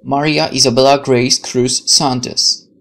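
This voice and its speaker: A man in his twenties reading game text aloud with excitable, chatty asides and some stumbles. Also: medium pitch, a little slow, vocal fry. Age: 20s. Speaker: gaming video host. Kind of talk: game let's play, reading aloud and reacting. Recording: faint background noise.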